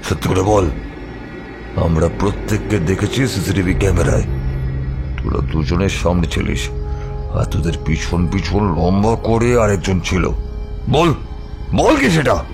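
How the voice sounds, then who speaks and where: An actor playing a ruthless, vengeful crime boss in a horror audio drama, with a mocking, menacing manner. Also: male voice, low voice, animated, music in the background. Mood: angry interrogation. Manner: menacing, mocking, drawn-out rhetorical questions.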